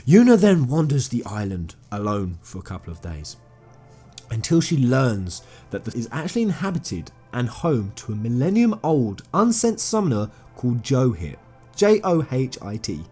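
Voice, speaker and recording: Male commentator, animated pitch swings, enthusiastic, emphatic, informal storytelling, faint music behind. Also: normal speed, slightly rough. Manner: enthusiastic, emphatic, repeats phrases for stress. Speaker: video game commentator. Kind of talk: informal video essay retelling a game novella's story to viewers. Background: background music.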